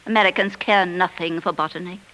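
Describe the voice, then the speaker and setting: An actress playing a bossy, scornful middle-aged wife, scolding imperiously in 1940s radio drama style. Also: female voice, lowish voice, fairly even intonation, brisk, pushed and bright, low-quality recording.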